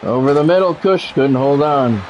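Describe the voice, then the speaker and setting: A man streaming a football video game, giving excitable play-by-play and bluntly talking at the players in short, urgent, repeated phrases. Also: fairly high pitch, normal speed, muffled recording, a little hiss or hum. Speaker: gaming streamer doing play-by-play.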